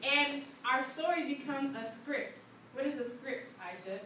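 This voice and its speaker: A woman's voice: sits high, lively intonation, measured pace, bright, forceful tone. A woman teacher leading an interactive classroom lesson, often checking understanding with short questions. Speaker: English teacher. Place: indoor school classroom. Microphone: distant room microphone. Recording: echoey, noisy classroom, far from microphone.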